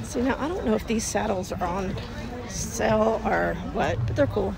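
A woman's voice: mid-range voice, normal speed, creaky, low-quality recording. A woman chatting casually to viewers as she walks around and films. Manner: chatty, conversational, talks to viewers. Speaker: vlogger. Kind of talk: casual walking tour commentary. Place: outdoor livestock auction grounds. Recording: outdoor crowd noise. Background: crowd chatter.

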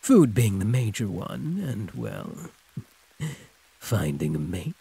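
A man voicing a huge, possessive, teasing werewolf prisoner with a husky, breathy drawl, in an intimate audio roleplay. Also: on the low side, huge pitch swings, slow, warm tone, exaggerated voice. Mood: urgent, protective. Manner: drawling, teasing pet names, predatory purr.

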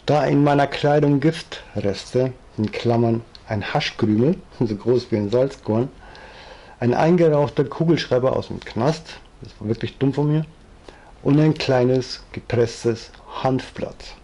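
A man in his fifties with a strong Bavarian accent, chatting from an armchair into a distant webcam in a low-quality home recording. His voice is gravelly and dark, a little husky, and he rambles from one thought to the next with plenty of fillers. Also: measured pace. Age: 50s. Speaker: vlogger. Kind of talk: casual storytelling monologue, reading aloud. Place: living room, seated in armchair.

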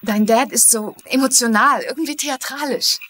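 An actress playing an anxious, sensitive young daughter in a dubbed TV mystery, earnest and tentative. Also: female voice, fairly high pitch, moderate pace, pushed and bright. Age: young adult. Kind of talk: dubbed TV mystery drama acting. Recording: clear background noise, outdoor-like ambience.

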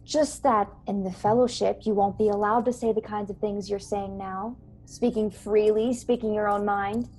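A young woman playing an earnest, courteous starship officer in a radio play, measured, polite and gently persuasive. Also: mid-range voice, steady tone, close to an everyday voice. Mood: grave. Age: young adult. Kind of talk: radio play acting over video call. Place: small bedroom, indoors. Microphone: earbuds on a video call. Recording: clear background noise on video call.

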